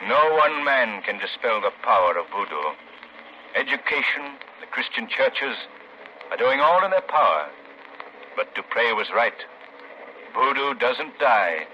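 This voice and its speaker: A man's voice: mid-range voice, sing-song, measured pace. An actor playing a commanding male crime fighter with secret powers in a dramatic 1930s radio play, on an old muffled, noisy recording. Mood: calm, grave.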